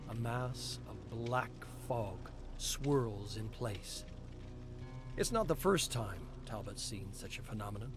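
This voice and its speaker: A man giving a measured, expressive dramatic reading of game story text as a lore reader on a live stream. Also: mid-range voice. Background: clear background music.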